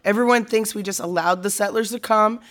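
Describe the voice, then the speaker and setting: A woman's voice: mid-range voice, fairly quick, a bit bright, close to an everyday voice. A woman acting in an audio drama as an opinionated, plainspoken friend in casual conversation. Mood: thoughtful, irritated. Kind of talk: naturalistic audio drama dialogue.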